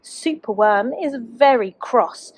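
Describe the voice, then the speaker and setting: Female primary teacher as storyteller: expressive, slow-paced, fairly high voice for small children. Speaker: teacher reading a children's book. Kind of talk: expressive rhyming storybook narration for young children.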